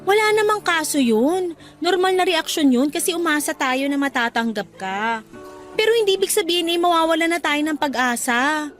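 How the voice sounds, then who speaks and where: A woman acting as an affectionate, encouraging young girlfriend in a radio drama, speaking in a coaxing, animated way. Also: high-pitched, moderate pace, faint music behind. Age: young adult. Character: supportive, upbeat young girlfriend cheering on her partner. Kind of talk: Tagalog radio drama dialogue.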